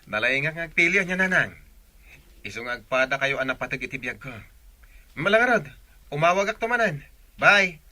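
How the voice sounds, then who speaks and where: A man's voice: on the high side, animated, normal speed, long pauses, bright, forceful tone, dry recording. A man playing a dutiful, devoted young son in a radio drama, speaking earnestly.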